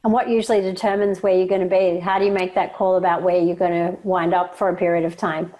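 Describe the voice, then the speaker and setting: A woman with a slightly low voice, speaking as the host of an interview podcast. She presents in a prepared, orderly way, as someone introducing and questioning a guest. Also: level tone, quick pace, a little mellow, poor audio.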